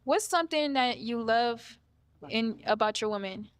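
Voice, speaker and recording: A woman in her thirties, a dating show contestant talking in a relaxed, self-assured way. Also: a little high, moderate pace, clear, resonant tone, no room sound, clean studio sound. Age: 30s. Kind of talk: casual conversation.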